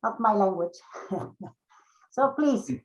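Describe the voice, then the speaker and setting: A woman's voice: mid-range voice, expressive, slow pace, highs cut off. A woman moderating a panel, brief and informal as she introduces the next speaker.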